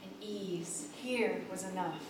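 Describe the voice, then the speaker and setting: A woman's voice: lowish voice, slow. A woman giving a dramatic, poetic scripted story narration live on stage. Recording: distant, echoey, noisy live theater recording.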